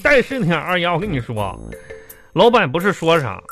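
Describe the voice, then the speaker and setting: A man playing a fussy, excitable, boastful middle-aged company boss in a comic radio sketch, with a Northeastern Chinese accent and a bossy, repetitive, exasperated way of talking. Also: on the high side, moderate pace, soft background music, full character voice. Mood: grumbling. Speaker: comedy radio drama host and actor.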